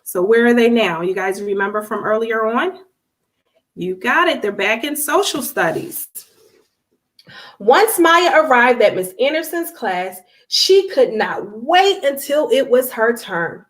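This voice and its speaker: A woman's voice: on the high side, animated, unhurried, fairly bright tone. A woman reading a children's storybook aloud expressively, speaking directly to her young listeners.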